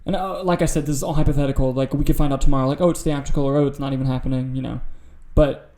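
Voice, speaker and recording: A man's voice: mid-range voice, steady tone, racing, warm tone. A man hosting a podcast in a casual film discussion style. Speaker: podcast host.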